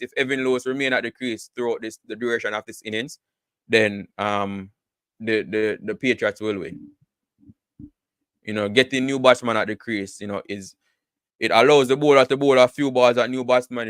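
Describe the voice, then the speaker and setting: A man's voice: medium pitch, fairly bright tone, clean tone, dry recording. A man giving casual live cricket commentary, full of repeated words and "you know" fillers.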